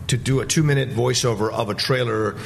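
A man's voice: mid-range voice, steady tone, fairly quick, gravelly, slight background noise. A man speaking as a panelist on a movie talk show, making his point in casual discussion.

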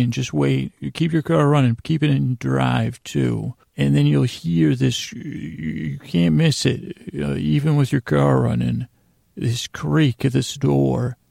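A man hosting a podcast in a rambling, lighthearted bedtime monologue, halting and drifting into absurd tangents, with a gravelly, creaky voice. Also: medium pitch, dark tone, dry recording, studio quality. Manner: meandering, halting, with trailing tangents. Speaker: podcast host. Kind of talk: rambling bedtime monologue, meant to lull listeners to sleep.

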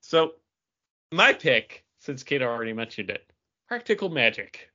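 A man's voice: mid-range voice, very animated, unhurried, sharp tone, highs cut off. A man co-hosting a podcast, chatting casually and tossing in dry, joking asides. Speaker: podcast co-host. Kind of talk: casual bantering podcast chat.

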